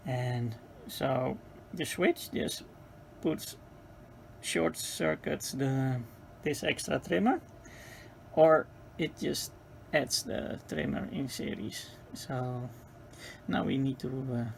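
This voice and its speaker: A man giving an informal hands-on technical tutorial, speaking haltingly as he searches for words. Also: mid-range voice, creaky, a little hiss or hum. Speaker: presenter of a radio repair tutorial.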